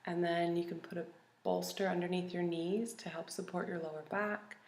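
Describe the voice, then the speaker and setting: A woman leading a guided body scan meditation in a calm, gentle, soothing manner with long pauses. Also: on the low side. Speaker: meditation teacher. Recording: roomy, echoey recording.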